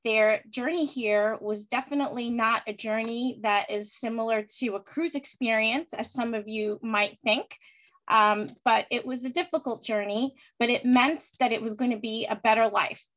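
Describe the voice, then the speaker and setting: A woman's voice: a little high, fairly even intonation, moderate pace, a bit bright, rough recording. A woman of Puerto Rican descent giving an earnest, heartfelt prepared speech as a panelist at a virtual heritage event. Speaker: panelist speaker.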